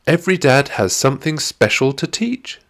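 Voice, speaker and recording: A man voicing a cheerful, eager ten-year-old girl who trains animals in a children's storybook, bright and encouraging in manner. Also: medium pitch, big pitch swings, normal speed, creaky, pitched above the performer's own voice. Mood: warm, proud.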